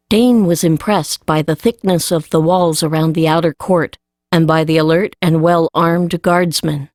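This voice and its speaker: A woman's voice: on the low side, a little mellow, dry recording, studio quality. A woman reading scripted narration for a fantasy audiobook.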